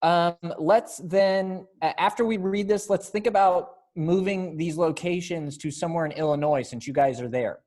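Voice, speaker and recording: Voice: mid-range voice, normal speed, few pauses, darkish tone. A readers theater director speaking casually while giving notes to performers in an online rehearsal. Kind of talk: casual directing over video call, stage directions.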